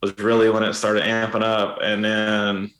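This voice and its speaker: Raspy guy, kinda low voice, rambling chill podcast chat, echoey room. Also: a little slow. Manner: relaxed, rambling with many fillers. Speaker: musician guest. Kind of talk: casual podcast interview. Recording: roomy echo.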